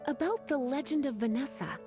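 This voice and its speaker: A woman's voice: high voice, fairly quick, warm tone, faint music behind, lightly acted. A woman playing a teasing, languid librarian in an anime game, coy and flirtatious with a veiled menace. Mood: sweetly playful. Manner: flirtatious, drawling, sighing, coy.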